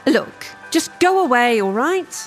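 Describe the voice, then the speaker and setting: A defiant, confrontational woman in an audio drama. Her voice is very high and leaps wildly up and down. She is brash and hostile, ready to snap back. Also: big pitch swings, unhurried, faint music behind. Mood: indignant, irritated.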